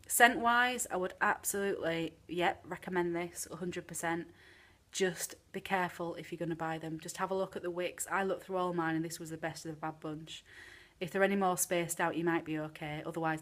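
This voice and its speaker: A woman's voice: low-pitched, fairly bright tone. Chatty British woman, slightly chesty. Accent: British English. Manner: chatty, frank, many ums. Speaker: candle reviewer. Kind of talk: casual product review. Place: home room with candles burning.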